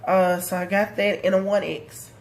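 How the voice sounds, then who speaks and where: A woman's voice: medium pitch, flat delivery, moderate pace, a little hiss or hum. A woman in her thirties giving a casual video diary update, congested from a head cold and talking in a chatty, rambling way full of ums and likes. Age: 30s. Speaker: vlogger.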